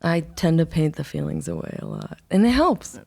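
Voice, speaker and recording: A young woman, an artist, talking casually in an interview, rambling and halting with many fillers and restarts. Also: deep voice, huge pitch swings, vocal fry, dark tone. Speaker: album artist, interview guest. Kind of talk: casual conversation.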